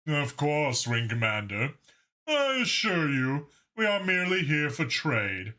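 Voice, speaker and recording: A man's voice: fairly high pitch, very animated, a little slow, pushed and bright, heavily acted. A man voicing an outgunned alien captain in an audiobook, politely placating and feigning innocence. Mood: feigned innocence.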